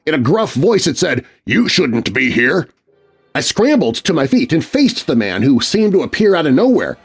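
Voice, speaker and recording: A man's voice: fairly high pitch, very animated, a little fast, a little mellow, slightly rough. A man narrating a scripted scary story in a dramatic, suspenseful way.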